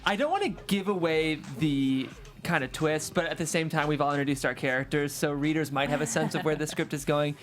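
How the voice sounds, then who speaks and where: A man's voice: a little high, brisk, few pauses, noisy background. A man hosting a table read show, chatting and narrating script directions in a studio.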